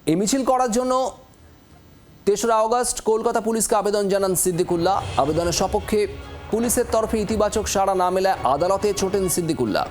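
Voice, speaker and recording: A male news anchor speaks with a West Bengal Bengali accent in a high-pitched voice. His delivery is emphatic and dramatic, full of pointed questions, and a light music bed runs behind him. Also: quick pace, darkish tone. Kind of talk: news presenting with rhetorical questions.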